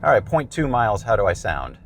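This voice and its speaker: A middle-aged American man presents a product review. His voice sits on the low side, and he explains things in plain, conversational language. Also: very animated, a little fast, heavy background noise.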